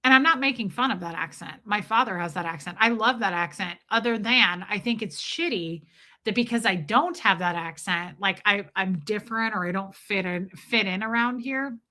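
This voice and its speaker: Woman, Southern accent, livestream host, bright tone, chatty, restarts often. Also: mid-range voice, normal speed. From Southern US, near Nashville. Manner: chatty, answers viewers by name, frequent restarts. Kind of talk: casual live chat reading comments.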